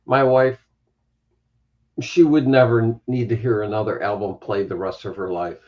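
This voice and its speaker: A man in his fifties musing his way through a relaxed group chat. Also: lowish voice, moderate pace, dull audio. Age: 50s.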